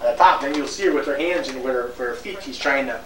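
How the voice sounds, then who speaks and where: A man's voice: mid-range voice, fast talker, fairly bright tone, slight background noise. A man in casual family conversation. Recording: distant, echoey home tape.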